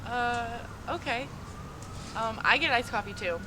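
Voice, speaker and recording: A woman's voice: a little high, unhurried, close to an everyday voice. A woman playing a skeptical, easily exasperated young woman on a date in a comedy sketch. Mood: awkward, friendly. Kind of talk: acted comedy sketch dialogue. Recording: noisy outdoor location sound.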